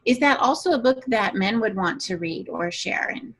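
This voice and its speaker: A woman's voice: mid-range voice, animated, normal speed, a bit bright, breathy edge. A woman hosting a podcast in a chatty way, with playful, teasing banter. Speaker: podcast host and moderator.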